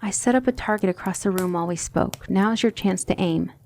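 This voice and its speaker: A woman playing a gentle, patient demon tutor in an ASMR roleplay, speaking in measured, formal instruction that often drops toward a whisper. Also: mid-range voice, slight background noise, close to an everyday voice. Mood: encouraging, focused. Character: gentle, patient demon tutor guiding a magic student, female.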